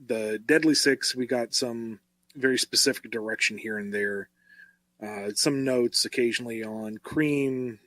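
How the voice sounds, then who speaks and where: A man talking casually and reflectively as a writer answering questions, thinking aloud in a halting way. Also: on the low side, pushed and bright, no echo, low-quality recording.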